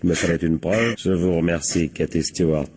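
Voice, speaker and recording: A man playing a shrewd, ironic police captain who deliberately corners suspects in a French radio crime drama. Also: sits low, a little mellow, breathy edge. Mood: triumphant. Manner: deliberate, ironic, teasing rhetorical questions.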